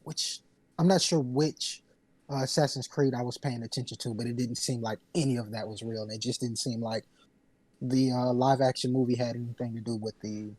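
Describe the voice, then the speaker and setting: A man chatting casually on a podcast panel in a halting, tentative way. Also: mid-range voice, fairly even intonation, a little mellow, low-quality recording. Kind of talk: casual chat.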